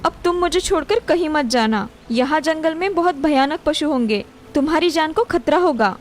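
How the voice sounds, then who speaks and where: A woman voicing a sweet, timid young girl who is a loyal sister, speaking softly and earnestly. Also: high voice, lively intonation, normal speed. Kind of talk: cartoon story voice acting.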